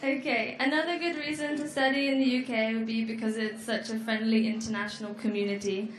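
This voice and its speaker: A young woman with a fairly high voice gives an easygoing talk at a fair. She speaks to the crowd in a friendly way, with small ums between points, and her voice rings through a large echoey hall. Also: steady tone. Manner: friendly, explains slowly with fillers. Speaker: presenter. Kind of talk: informal presentation at an education fair.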